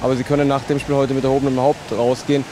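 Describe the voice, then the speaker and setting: Fast, even-toned man in interview. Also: mid-range voice. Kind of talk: interview answer. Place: outdoors in town. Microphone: handheld microphone. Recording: faint outdoor street noise.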